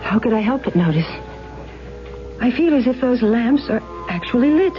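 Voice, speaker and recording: A woman's voice: fairly high pitch, animated, normal speed, natural voice. An actress in a radio drama playing a curious, impressionable young woman with an earnest, hesitant delivery. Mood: entranced. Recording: dull old radio recording with music.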